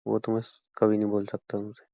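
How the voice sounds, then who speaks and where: A man's voice: on the low side, monotone, a little fast, warm tone, dry recording, close to an everyday voice. A man playing a hurt, reproachful young man who broods over his doubts in an intimate phone-call drama. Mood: wounded, somber. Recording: dry, dull lo-fi call recording.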